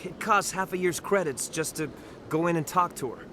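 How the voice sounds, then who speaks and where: An actor playing a young, eager army private in a video game, awkward and easily flustered. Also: male voice, fairly high pitch, normal speed, background noise, lightly acted. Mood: flustered, sheepish. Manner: stammering, flustered. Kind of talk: video game dialogue acting.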